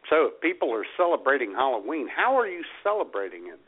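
A man's voice: medium pitch, very animated, quick pace, slightly rough. A man co-hosting a radio show in casual banter, with a wry, joking way of talking. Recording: thin phone-like audio.

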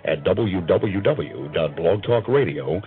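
A man's voice: low-pitched, animated, takes it slowly, over loud music. A man delivering a spirited, scripted introduction as the announcer of a radio show. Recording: phone-like lo-fi audio.

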